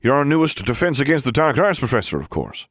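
Brief: sly elderly headmaster, put on by a man; breezy and polite, animated. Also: mid-range voice, quick pace, dry recording, studio quality, voice pushed up. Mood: cheerful. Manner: cheerful, genteel and unflappable. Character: twinkly, scheming old male headmaster wizard.

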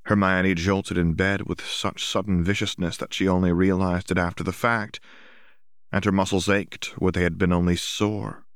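A man with a low-pitched voice narrates a novel for an audiobook. His reading is scripted and literary. The recording is dry, with very little room sound. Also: little pitch movement, moderate pace, clean tone, no echo.

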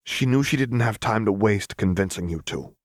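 A man's voice: lowish voice, animated, moderate pace, no room sound, clean studio sound. A man voicing a defiant, cocky young male rebel in an audiobook, with taunting, slangy delivery.

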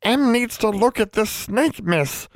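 A man voicing a wizened old female house elf servant, anxious and stern, high-pitched and speaking of herself in the third person. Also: huge pitch swings, unhurried, dry recording, heavily acted. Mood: urgent, stern.

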